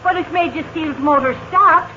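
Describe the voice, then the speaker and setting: A woman's voice: high voice, moderate pace, bright, forceful tone. Lilted motherly Ma. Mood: practical. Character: motherly older nurse Ma Donovan, brisk. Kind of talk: 1940s radio acting. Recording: dull lo-fi 1940 radio recording with hiss.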